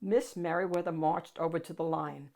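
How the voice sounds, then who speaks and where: A woman reading a picture book aloud to children in an animated, expressive storytelling style with dramatic emphasis. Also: deep voice, normal speed, creaky.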